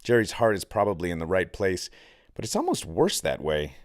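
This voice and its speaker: A man's voice: sits low, huge pitch swings, fairly quick, no room sound, clean studio sound. A man reading their own novel aloud as scripted audiobook narration.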